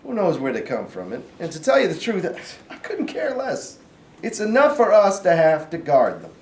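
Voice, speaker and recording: A man reading the part of a gruff but fair farmer turned Confederate sergeant, plainspoken and folksy, in an amateur stage play. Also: a little high, wildly swooping pitch, normal speed. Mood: weary. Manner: folksy, plainspoken, hesitant phrasing. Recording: roomy, distant, faint background noise.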